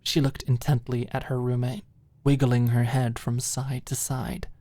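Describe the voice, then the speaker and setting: Woman audiobook narrator, very deep pitch, unhurried, scripted ASMR storytelling, dry recording. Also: level tone, warm tone, no echo.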